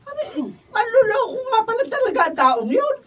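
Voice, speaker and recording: High-voiced fretful Filipina mother. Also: big pitch swings, brisk. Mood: shocked. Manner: fussing, exclamatory. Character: fretful, protective mother of grown daughter. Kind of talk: Filipino radio drama acting. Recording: dull distant mic, faint noise.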